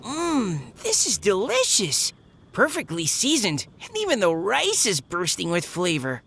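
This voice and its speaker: Very animated, curious young woman. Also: medium pitch, unhurried, a little hiss or hum, full character voice. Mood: delighted. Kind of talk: video game cutscene acting.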